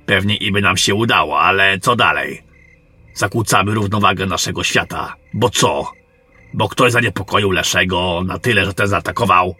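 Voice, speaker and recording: A man playing a seasoned, wry general and monster hunter in a fantasy audio drama, measured and commanding. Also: low-pitched, sharp tone, breathy edge, faint music behind, heavily acted. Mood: cautious, measured.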